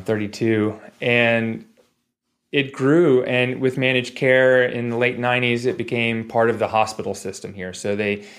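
A man in his forties telling stories casually as a podcast guest, rambling and digressing with jokey asides. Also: medium pitch. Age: 40s. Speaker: podcast guest, physical therapist. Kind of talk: casual storytelling in a podcast interview.

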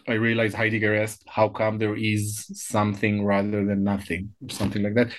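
Flat-voiced man, reflective. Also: on the low side. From Israel. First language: Hebrew. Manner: reflective, searching for words. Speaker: guest scholar. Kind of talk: interview answers.

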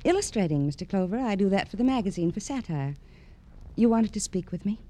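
An actress playing a cool, bitter, articulate magazine illustrator in an old radio drama. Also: female voice, medium pitch, expressive, brisk, warm tone, close to an everyday voice. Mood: cool, reserved. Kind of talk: 1940s-50s radio drama acting.

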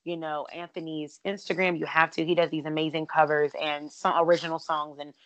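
A woman with a raspy, somewhat low voice hosts a casual podcast. She chats breezily and leans on "like" and "literally" a lot. Her voice comes through dry and close over a remote call. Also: level tone, no echo, rough recording. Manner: chatty, fast-talking with many "like" and "literally". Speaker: podcast host. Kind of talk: casual chat. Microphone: remote call, cutting out at times.

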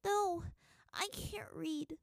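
A sly, tough young girl, voiced by a woman reading aloud, speaks in a bright, high, sugary tone. Her voice sits well above an adult's. The sound is close and dry. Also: very slow, gravelly, dry recording, heavily acted. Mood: sweetly pleading. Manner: sweet and innocent when pretending, blunt when alone.

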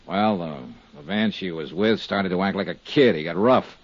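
A muffled, dry 1950s radio recording of a world-weary American investigator. His voice is low and husky, and he talks in clipped, candid, hard-boiled phrases. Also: normal speed, no echo. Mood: matter-of-fact. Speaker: lead actor and narrator of a detective serial. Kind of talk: 1950s radio detective drama acting.

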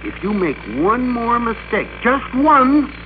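High-pitched, bossy radio-drama office worker. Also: male voice, expressive, takes it slowly, full character voice. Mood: bullying. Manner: domineering, emphatic, theatrical. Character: bossy, excitable male office worker bullying a machine. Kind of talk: old-time radio drama acting. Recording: noisy, dull old radio recording.

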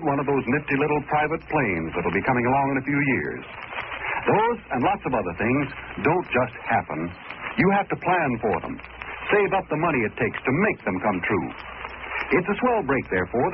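A man reading a 1940s radio advertisement as an announcer, with a folksy, persuasive manner. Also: a little high. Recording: tinny, hissy 1940s radio recording.

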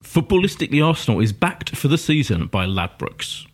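A man's voice: mid-range voice, big pitch swings, a little fast, husky, faint music behind. A man hosting a football podcast in casual chat, stuffed up with a cold, chatty and self-mocking. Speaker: podcast host.

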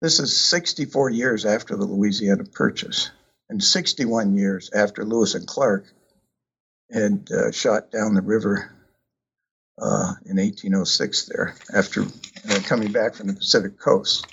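Low husky man, halting delivery. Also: steady tone, fairly bright tone. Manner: hesitant, many ums and uhs. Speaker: author discussing his book. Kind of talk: casual conversational talk. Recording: dull lo-fi sound.

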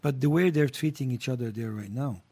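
Blunt husky man. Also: medium pitch, brisk, mellow. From Lebanon. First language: Armenian. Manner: blunt and provocative, swears freely. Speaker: guest. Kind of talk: casual panel chat.

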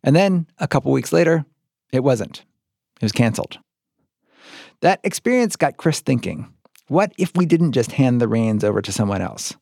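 A man's voice: medium pitch, lively intonation, moderate pace, creaky, long pauses, darkish tone, breathy edge, dry recording, studio quality. An American man hosting and narrating a podcast in a scripted but conversational storytelling style.

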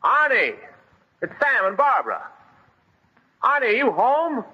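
An actor playing a steady, protective brother who grows alarmed, in an old-time radio horror play. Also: male voice, very high voice, measured pace, heavily acted. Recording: muffled, noisy vintage radio recording.

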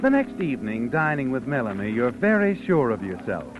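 An actor playing a knowing, needling storyteller in old-time radio suspense, narrating dramatically and deliberately. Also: male voice, mid-range voice, huge pitch swings, highs cut off. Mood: ominous, knowing. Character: knowing, insinuating male storyteller addressing the villain. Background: music.

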